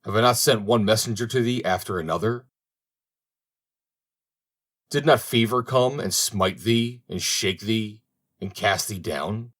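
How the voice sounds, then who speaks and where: A man's voice: lowish voice, unhurried, long pauses, a bit bright. Storybook Death, played by a male reader: stern male spirit, formal old-fashioned phrasing, solemn authority. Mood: stern, reproachful. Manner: formal, archaic, commanding speech.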